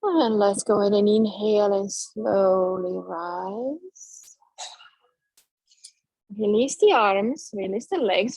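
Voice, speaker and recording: Slow, gravelly-voiced woman yoga teacher. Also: medium pitch, a little mellow, no echo, poor audio. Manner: slow, soothing, drawn-out cues. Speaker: yoga instructor. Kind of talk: guided yoga class instruction.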